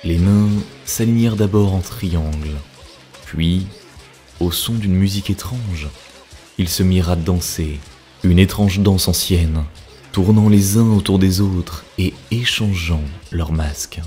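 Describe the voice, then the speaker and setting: A man reading scripted narration for a cartoon story in a solemn, deliberate manner. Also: deep voice, dark tone. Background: background music.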